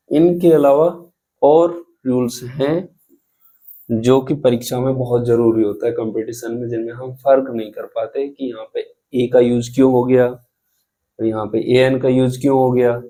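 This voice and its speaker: A man teaching a grammar lesson, explaining rules slowly and spelling out examples, often asking the students questions. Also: medium pitch, dark tone. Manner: slow, deliberate, repeats and spells out examples.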